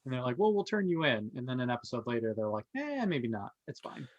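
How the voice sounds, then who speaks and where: A man's voice: mid-range voice, sing-song, quick pace, lo-fi sound. A man co-hosting a casual review discussion, talking in an opinionated, conversational way. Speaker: podcast host.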